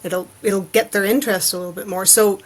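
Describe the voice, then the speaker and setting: A woman with a medium-pitched voice talks as an artist guest on a podcast. She explains things in a casual, conversational way, dropping in imagined reactions as quotes. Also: fairly even intonation, brisk.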